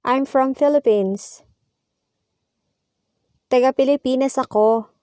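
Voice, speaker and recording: A woman reads a practice dialogue line by line in a measured, even way, playing both speakers and following each line with its translation. Her voice sits a little high. It is recorded close up in a dry space with no room sound. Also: clear, resonant tone, no room sound. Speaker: language teacher. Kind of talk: slow scripted reading of a dialogue with translations.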